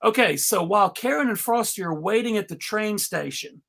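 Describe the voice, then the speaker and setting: A man presenting an informal live script reading, halting and amused, with frequent asides. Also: sits high, lively intonation, vocal fry, a bit bright. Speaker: panel reader and presenter.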